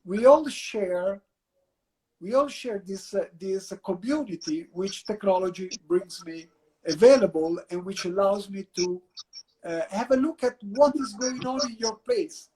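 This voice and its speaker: A man's voice: high-pitched, a little slow, a little mellow, poor audio. A man with an Italian accent, a university professor, answering questions at length in a discursive lecturing way with restarts. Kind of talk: guest answering in an interview.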